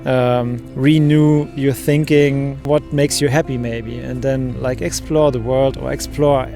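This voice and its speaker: Man, 30s, traveling interviewee, English, earnest halting delivery over music. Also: mid-range voice, fairly even intonation, creaky, dark tone. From Germany. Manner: earnest, searching for words. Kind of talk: casual street interview.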